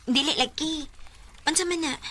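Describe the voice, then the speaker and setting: An actress playing a gentle, frank teenage schoolgirl with a Cebuano accent in a radio drama. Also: high voice, normal speed, heavy background noise, close to an everyday voice. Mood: curious.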